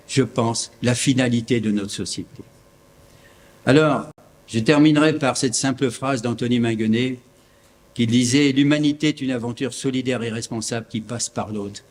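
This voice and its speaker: Man, mid-pitched, measured formal closing speech, faint noise behind. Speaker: foundation representative.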